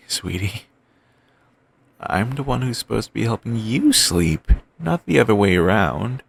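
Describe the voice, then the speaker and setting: A man playing an obsessive, doting yandere boyfriend in an audio roleplay, talking softly and rambling on with endearments. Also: fairly low pitch, creaky, slightly rough, full character voice. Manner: soft, murmuring, slow and rambling endearments.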